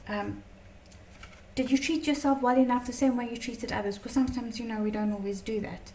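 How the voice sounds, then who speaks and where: A woman teaching a tarot lesson in a chatty, casual way, her voice slightly croaky from a long day of talking, often checking in with "okay?". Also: on the high side, fairly even intonation, brisk, warm tone, far from the mic, slight background noise. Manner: chatty, many fillers and "okay?" check-ins.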